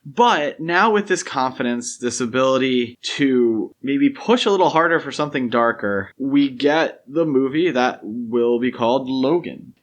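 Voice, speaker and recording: A man's voice: medium pitch, lively intonation, a little slow, hardly any pauses. A man hosting a podcast, talking casually about films in an explanatory, opinionated way with long, winding thoughts. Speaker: podcast host. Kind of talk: casual film discussion.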